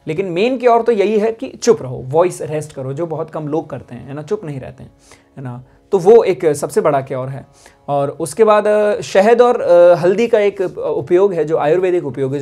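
A young male music teacher sits indoors and explains vocal care to the camera in a slightly high voice. He talks patiently and keeps checking in with his listeners. Soft music plays faintly underneath. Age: young adult. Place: indoor studio with instruments.

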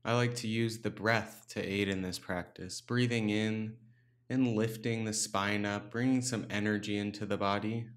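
Male meditation guide, lower register, calm instructional delivery, takes time between short phrases. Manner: calm, unhurried instruction with pauses. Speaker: meditation teacher.

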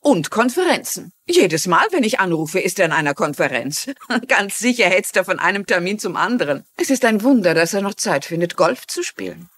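An actress playing a genial, chatty older mystery writer with gracious manners in a dubbed TV drama. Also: female voice, medium pitch, sing-song, normal speed, hardly any pauses, a bit bright, breathy edge, heavily acted. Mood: friendly. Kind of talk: German-dubbed TV drama acting.

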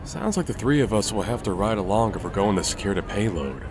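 An actor voicing a brash, good-natured young human fighter with an eager, goofy delivery. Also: male voice, lowish voice, expressive, quick pace, noisy background, lightly acted. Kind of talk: comic dub voice acting.

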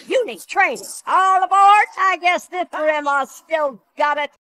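Feisty, high-voiced old grandma. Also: female voice, a little slow, bright, forceful tone, no room sound, full character voice. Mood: feisty, combative. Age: older. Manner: spirited, bossy, combative. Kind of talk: video game voice lines.

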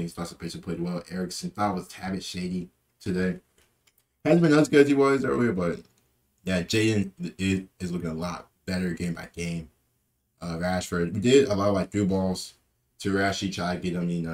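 A man's voice: deep voice, a little mellow. A young man giving a casual, rambling post-match football reaction, full of fillers and repeated words, from a small room. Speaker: football fan vlogger.

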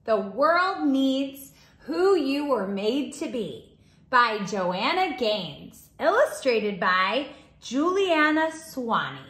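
A woman teaching young children, reading a storybook aloud in an expressive, deliberate way. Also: high voice. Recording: roomy, echoing indoor sound.

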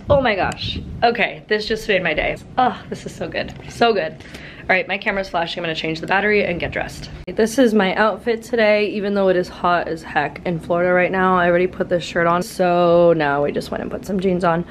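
Twenties woman, casual upbeat vlogger, lowish voice slightly worn from illness, handheld camera mic, faint room noise. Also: fairly quick. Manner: chatty, upbeat, thinking aloud. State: recovering voice after being sick. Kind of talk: casual vlog chat to camera. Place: apartment bedroom and kitchen, indoors.

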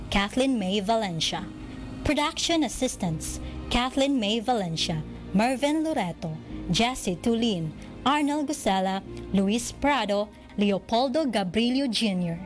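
Filipino woman reading credits over music. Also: fairly high pitch. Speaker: credits announcer. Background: background music.

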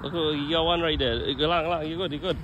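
Man, fishing vlogger; excitable, swearing commentary on a phone outdoors; slightly high voice, heavy wind noise. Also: quick pace. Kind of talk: casual running commentary while fishing. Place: outdoors by a roadside canal. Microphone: handheld phone.

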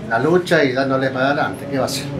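A man's voice: medium pitch, fast. An older man, a café owner talking plainly and unhurriedly in an interview, trailing off into fillers. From Argentina. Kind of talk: casual interview. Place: small café indoors, facing the camera. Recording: echoey café with crowd noise.

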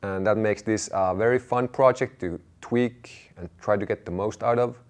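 A man in his thirties with a low-pitched voice walks viewers through a do-it-yourself audio project. He presents to camera indoors, explaining each part plainly and factually. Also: moderate pace. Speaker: DIY audio channel presenter. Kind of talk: product walkthrough to camera.

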